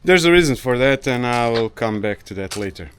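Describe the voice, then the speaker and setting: A man's voice: mid-range voice, expressive, brisk, fairly bright tone, slight background noise. A man whose first language is Croatian, speaking English in a casual vlog as he works around a rural summer house. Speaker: vlogger. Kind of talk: casual vlog narration while doing chores. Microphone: action camera.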